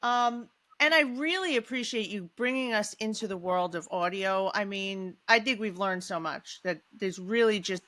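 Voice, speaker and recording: A woman co-hosting a podcast, trading quick teasing banter. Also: mid-range voice, a bit bright, dry recording. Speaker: podcast co-host. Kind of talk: casual podcast chat.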